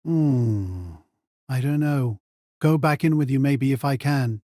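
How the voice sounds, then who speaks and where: A man's voice: medium pitch, slow, mellow, dry recording, clean studio sound, close to an everyday voice. A man acting in an audio drama as a jaded, hard-drinking older mentor who is sardonic, needling and blunt. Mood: weary, reproachful.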